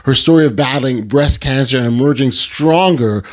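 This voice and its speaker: A man hosting a podcast, smooth when reading his introduction and full of fillers and restarts when he speaks off the cuff. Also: medium pitch. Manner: polished when reading, halting with many fillers when unscripted. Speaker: podcast host. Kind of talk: scripted intro then conversational hosting.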